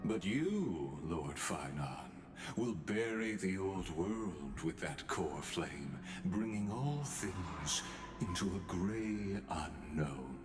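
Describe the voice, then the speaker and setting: A man playing a cryptic, ancient observer in a fantasy game, speaking in grandiose, deliberate oratory with theatrical flourish. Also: deep voice, expressive. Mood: ominous. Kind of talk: scripted fantasy game voice acting. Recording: echoing voice over music.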